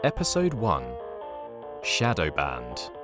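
An actor narrating an audio comedy drama as a wry, deliberate, theatrical storyteller. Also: male voice, low voice, wildly swooping pitch, over music, heavily acted. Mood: announcing.

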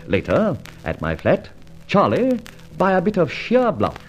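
Suave, wordy gentleman thief, animated swings. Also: sits high, measured pace, faint music behind, heavily acted. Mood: wry, composed. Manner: urbane, wordy, theatrical British gentleman diction. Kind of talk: old radio serial acting, with first-person narration.

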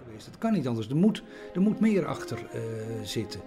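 A man talking reflectively in an interview, hesitant and halting, often repeating his phrases. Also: mid-range voice, very animated, over music.

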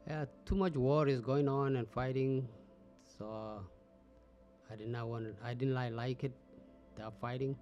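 A middle-aged man from Ethiopia who grew up speaking Oromo talks in an interview. He answers in short, halting phrases and often pauses on "uh". His voice sits at an ordinary male pitch, with light music underneath. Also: fairly even intonation, a little mellow, lo-fi sound. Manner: halting, frequent "uh" fillers, simple phrasing. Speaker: interview guest.

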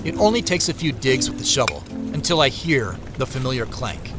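A man narrating an audio drama as a reflective searcher, measured, descriptive and understated. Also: medium pitch, lively intonation, over music, lightly acted. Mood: observant, measured. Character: reflective first-person narrator describing his woodland search.

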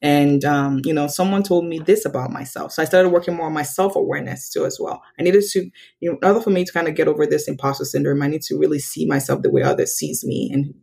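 A young woman from Nigeria, a podcast guest telling stories in casual conversation and acting out quoted dialogue with big jumps in pitch. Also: fairly even intonation, fast talker, creaky, few pauses, dark tone, husky. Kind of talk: casual interview storytelling.

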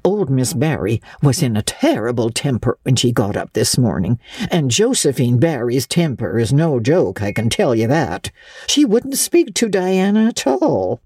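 Storybook village busybody, acted by a woman: older, brisk, emphatic, very animated, slightly husky, fond of admonishing. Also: extremely low pitch, no room sound, clean studio sound, heavily acted. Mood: gossipy. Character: brisk, gossipy, bossy older village matron. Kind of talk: dramatic audiobook reading of classic novel.

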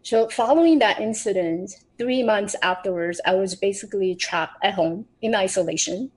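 A woman shares her personal story on a remote podcast. She speaks earnestly and often restarts her phrases, with slightly grammar. Her pitch sits in a middle range. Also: normal speed, darkish tone, poor audio. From Taiwan. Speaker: podcast guest.

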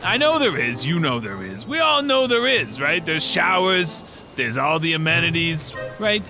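A man acts out a shifty working-stiff contestant in an audio comedy drama. He is chummy and wheedling, halting often, with a slightly high, very animated voice over music. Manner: halting, wheedling, repeatedly seeking agreement.

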